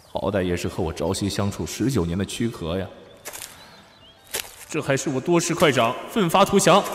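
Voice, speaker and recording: A man's voice: on the high side, natural voice. A man playing a crude, lecherous, easily panicked nineteen-year-old student in a comic audio drama, exclaiming and stammering melodramatically. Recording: roomy sound with music behind.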